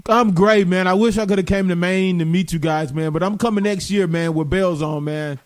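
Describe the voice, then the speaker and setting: A man hosting a radio talk show in a playful, teasing way. His voice sits high for a man. The sound is dry and close on a studio mic. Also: fairly quick, no echo. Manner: playful, laughs often, calls people baby. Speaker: radio host. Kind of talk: casual radio chat.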